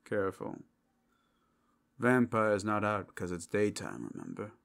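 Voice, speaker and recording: A deep-voiced, businesslike man of a fantasy brothel, played by a male actor, with a heavy creak in his speech. He is dry, measured and matter-of-fact, in the manner of an ASMR roleplay. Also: huge pitch swings, fairly bright tone, full character voice. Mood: cautioning.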